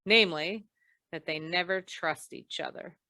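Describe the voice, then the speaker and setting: A woman playing a tough, wry female insurance investigator in an old-time radio noir, clipped, deadpan and probing. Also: fairly low pitch, measured pace, no echo, studio quality.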